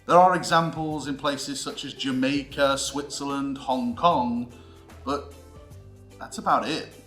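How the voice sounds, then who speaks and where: A roomy, echoing recording carries a British man's voice over background music. He narrates like a playful lecturer, emphatic and theatrical, at a medium pitch, slipping dry comic asides into his scripted explanation. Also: fairly even intonation, unhurried, a bit bright. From United Kingdom. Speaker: presenter of a theatre history series. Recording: roomy echo with music underneath. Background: background music with guitar.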